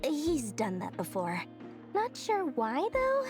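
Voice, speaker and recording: A small video game merchant girl, money-minded and full of herself. The voice is high and swoops around with sly, theatrical flair, over clear background music. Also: huge pitch swings, takes it slowly, heavily acted. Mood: earnest. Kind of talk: animated video game character acting.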